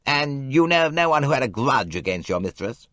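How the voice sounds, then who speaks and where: A narrator doing a curt, impatient middle-aged official, his pitch swooping widely across short remarks. Dry, close audiobook sound. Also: very animated, fairly quick, a bit bright, no room sound.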